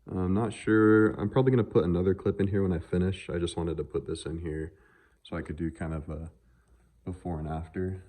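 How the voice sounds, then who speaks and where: Low, mellow-voiced man, casual vlogger. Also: fairly even intonation, fairly quick. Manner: laid-back, offhand, unscripted. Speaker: car vlogger showing truck modifications. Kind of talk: casual handheld vlog chat.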